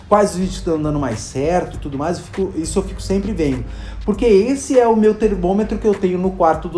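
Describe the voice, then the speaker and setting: Man, Brazilian accent, casual storyteller, lots of fillers, mellow voice, roomy echo. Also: on the high side. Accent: Brazilian Portuguese. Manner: chatty, many fillers, acts out quotes. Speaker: interview guest, video creator and hospital clown.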